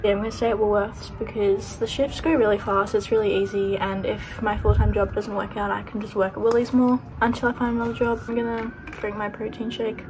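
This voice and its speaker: A woman's voice: medium pitch, level tone, a little fast, few pauses. A young adult woman with an Australian accent, chatting casually and informally to camera as a vlogger. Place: varies: car, backyard, kitchen, bedroom. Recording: noisy home-shot vlog audio.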